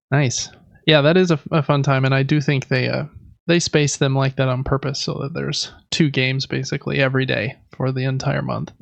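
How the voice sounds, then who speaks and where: A man's voice: medium pitch, gravelly, no room sound, muffled recording. A man co-hosting a podcast in easygoing, casual chat, close on the microphone. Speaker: podcast co-host.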